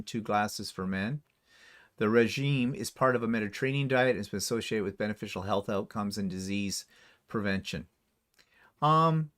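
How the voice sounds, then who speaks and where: A man co-hosting a casual livestream, reading viewer comments aloud and answering with chuckling asides. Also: medium pitch. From Canada. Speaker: livestream co-host.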